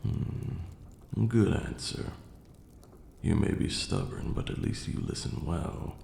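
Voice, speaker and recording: A man playing a stern, aloof vampire lord in an ASMR roleplay, speaking in a formal, haughty way with a gravelly, breathy near-whisper. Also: deep voice, animated, dark tone, heavily acted. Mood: patient, instructive. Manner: formal, haughty, slow scolding lecturing delivery. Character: stern aloof ancient male vampire lord, grudgingly caring. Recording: roomy echo, clear background noise.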